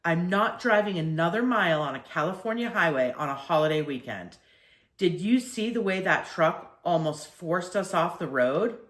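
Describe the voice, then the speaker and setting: A woman's voice: on the low side, animated, moderate pace, a bit bright, echoey room. A woman reading a storybook and voicing a tired, stubborn father who argues firmly. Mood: exasperated. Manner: firm, argumentative.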